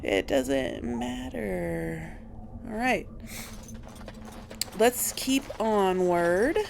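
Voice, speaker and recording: A woman giving casual video game commentary, thinking aloud in a halting, musing way as she plays. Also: medium pitch, animated, creaky, darkish tone, a little hiss or hum. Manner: halting, musing, talks to herself. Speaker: host of a video game playthrough.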